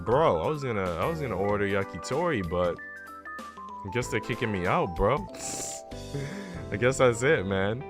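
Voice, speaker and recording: A man's voice: mid-range voice, big pitch swings, a little slow, pauses often. A man live streaming in a slangy, conversational way, chatting casually as he plays through a visual novel. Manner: slangy, conversational, often addressing listeners as bro and dude. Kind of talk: casual chat while reading a visual novel aloud. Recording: music behind the voice. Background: game music.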